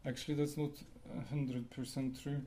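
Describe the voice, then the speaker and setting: Soft-toned man giving a measured lecture. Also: mid-range voice, level tone, slight background noise. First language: Norwegian. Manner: measured, explanatory, with pauses and fillers. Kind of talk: academic lecture.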